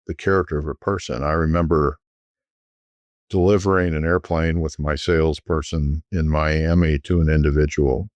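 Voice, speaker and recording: A middle-aged man, a guest recalling his years selling private jets, talking in a relaxed, rambling way with frequent restarts. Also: a bass voice, dry recording. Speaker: guest, former private jet sales executive. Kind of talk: conversational storytelling.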